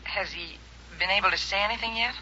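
An actress playing a guarded young widow, speaking urgently over a telephone in an old radio drama. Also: female voice, mid-range voice, brisk, bright, forceful tone. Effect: telephone filter. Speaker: murder suspect calling the detective. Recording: dull old radio recording.

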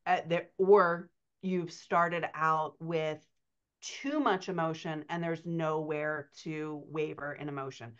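A woman's voice: deep voice, steady tone, takes it slowly. A middle-aged woman explaining things as a writing coach on a conversational podcast, stressing key words, over a video call. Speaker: writing coach answering questions. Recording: dull, muffled video-call audio.

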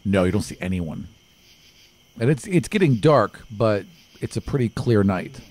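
Low-pitched man running a tabletop game. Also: animated, normal speed, darkish tone. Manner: improvised, conversational scene-setting. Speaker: game master. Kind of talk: narrating a live tabletop roleplaying game. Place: small studio room, group around a table. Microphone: tabletop microphone.

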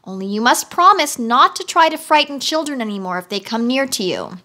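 A kind little girl from a storybook, voiced by a woman reader, earnest and reassuring. She speaks in a high, bright voice. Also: very animated, a little fast, heavily acted.

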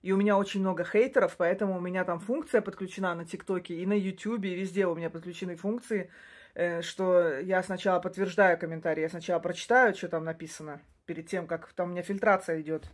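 A woman's voice: fairly low pitch, steady tone, fast. A woman in her forties, a native Russian speaker, talking casually to her phone camera in a small indoor room. She rambles, restarts sentences and laughs briefly between points. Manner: chatty, rambling, frequent short laughs and restarts. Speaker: social media creator. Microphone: phone camera close up.

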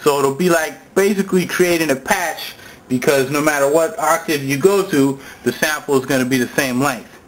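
A man's voice: fairly high pitch, normal speed, low-quality recording. A man giving an informal, slangy hands-on music gear tutorial, talking casually as he demonstrates. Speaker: tutorial presenter. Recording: music and sampler sounds behind voice. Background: sampled music loops and instrument sounds.

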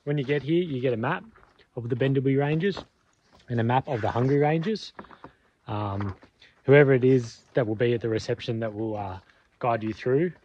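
A man's voice: medium pitch, moderate pace, darkish tone. Aussie bloke vlogging his camping trip, easygoing, rambly, muffled lo-fi audio, heaps of car and outdoor noise. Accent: Australian. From Australia. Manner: laid-back, chatty, rambling with fillers. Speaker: touring vlogger. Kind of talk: casual travel vlog narration. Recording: dull lo-fi sound with clear outdoor and engine noise.

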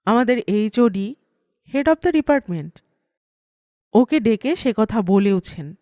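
A woman tells a story in a fairly high voice. She reads as an expressive first-person narrator, and the sound is dry, with no room echo. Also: slow.

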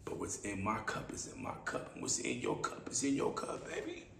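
Raspy guy in his 30s doing a solo podcast, kinda low voice, lots of hiss behind him. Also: fairly quick. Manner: laid-back, playful, repeats phrases with slangy asides. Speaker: podcast host. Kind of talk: casual solo podcast monologue. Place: small room indoors, seated. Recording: echoey room with steady background hiss.

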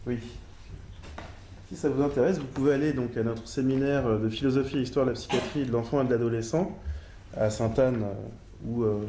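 A man's voice: medium pitch, fairly even intonation, brisk, warm tone. A middle-aged man, a psychiatrist, speaking at a conference panel, hesitant with many fillers and careful over foreign names. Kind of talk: academic conference talk and discussion. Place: large lecture hall. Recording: echoey hall with faint noise.

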